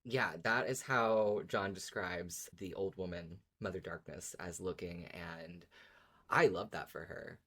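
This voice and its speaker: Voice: deep voice, a little slow, a bit bright, breathy edge. A podcast co-host chatting casually and enthusiastically about fiction, often circling back over phrases.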